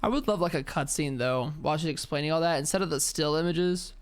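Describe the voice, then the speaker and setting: A woman's voice: sits low, fast talker, clear, resonant tone. A young woman streamer giving playful, slangy, chatty commentary while playing a game. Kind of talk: casual let's-play commentary.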